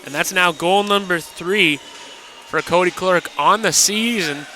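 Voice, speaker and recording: A man's voice: sits high, measured pace, bright, forceful tone, a little hiss or hum. A man giving live colour commentary on ice hockey, walking through each play step by step. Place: ice rink, live broadcast position.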